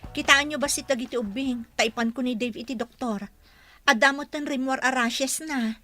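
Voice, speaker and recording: A woman's voice: high voice, fairly even intonation, moderate pace, bright, forceful tone, no room sound, faint music behind. An actress playing a dutiful young wife and mother in a radio drama. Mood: polite, hurried.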